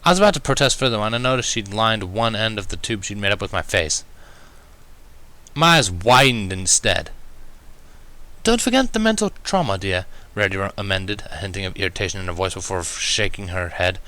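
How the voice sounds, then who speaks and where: Bright-voiced man narrating a story expressively. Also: on the low side, brisk. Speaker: fan fiction reader.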